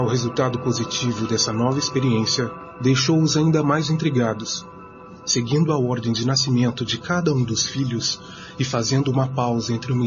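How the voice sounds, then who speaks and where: Narrador brasileiro, gravação abafada. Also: male voice, mid-range voice. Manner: formal, addresses listeners as friends. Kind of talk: scripted radio drama narration. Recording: muffled radio recording with music.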